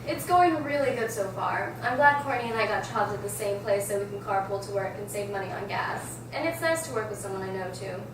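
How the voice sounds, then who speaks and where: A woman's voice: medium pitch, lively intonation, brisk, few pauses, close to an everyday voice. A woman reading the part of an easygoing big sister and new mother in an amateur script table read. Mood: casual. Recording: very echoey room, distant mic, clear background noise.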